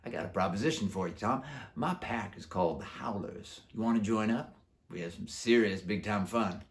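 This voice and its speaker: A man's voice: fairly low pitch, moderate pace, natural voice. A man voicing a brash, boastful, streetwise male werewolf in a children's storybook, with slangy, chummy, showy talk. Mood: chummy, pitching. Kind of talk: children's book character voice.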